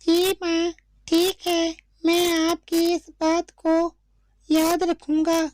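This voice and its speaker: A woman's voice: extremely high pitch, monotone, slow, darkish tone, no room sound, studio quality. A woman voicing a small, earnest, obedient young male bird chick in a cartoon story. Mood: obedient, reassuring. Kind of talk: slow cartoon story dialogue.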